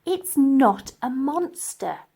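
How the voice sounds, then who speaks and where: A woman's voice: sits high, big pitch swings, takes it slowly, vocal fry, mellow, voice pushed up, exaggerated voice. A woman voicing a kind, plucky young girl in a children's storybook, earnest and determined. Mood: defiant.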